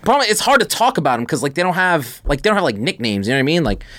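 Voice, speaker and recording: A man's voice: on the high side, very animated, racing, a bit bright, no room sound. A man co-hosting a podcast, talking casually and in slang with his fellow host. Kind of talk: casual conversational podcast chat.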